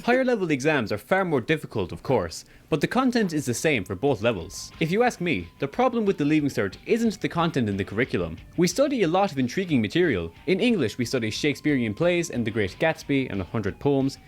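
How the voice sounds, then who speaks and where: A young man with an Irish accent narrating a scripted video with sardonic, deadpan humour. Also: fairly high pitch, a little fast, few pauses. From Ireland. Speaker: video essayist.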